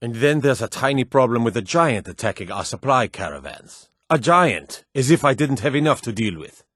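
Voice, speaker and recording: A man playing a stern, battle-hardened military officer in a fantasy video game, brisk, commanding and gruffly matter-of-fact as he gives orders. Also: mid-range voice, big pitch swings, a bit bright, no echo, clean studio sound. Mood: weary, exasperated.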